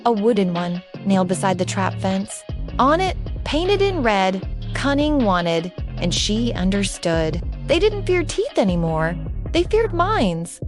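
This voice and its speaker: A woman reading a scripted storybook tale as a narrator. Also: high voice.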